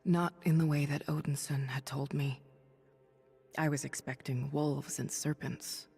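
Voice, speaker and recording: A woman voicing a weary, noble superhero thunder goddess for a video game, confiding in measured, breathy speech. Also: low-pitched, steady tone, darkish tone, big-room sound, soft background music, full character voice. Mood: somber. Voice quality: breathy, husky. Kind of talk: video game voice acting, dramatic dialogue and audio-log monologue.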